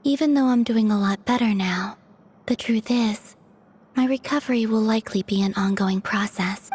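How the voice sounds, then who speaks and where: A woman voicing a gentle, frail moon maiden in a video game, honest and quietly resolute, speaking in a soft, breathy, measured way. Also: on the high side. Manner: measured, earnest delivery.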